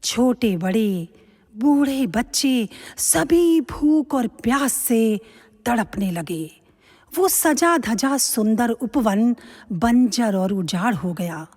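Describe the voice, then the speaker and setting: A woman narrating a mythological tale as scripted dramatic storytelling, expressive and deliberate. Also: fairly high pitch. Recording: long reverberant hall echo.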